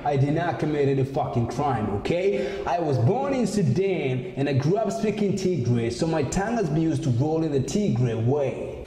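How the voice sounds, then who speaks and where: Young guy ranting angry into an earphone mic, mellow voice, super echoey room, faint music behind. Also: high-pitched. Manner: angry, emphatic, swearing rant. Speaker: vlogger. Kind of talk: ranting to camera. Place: small indoor room with maps on wall.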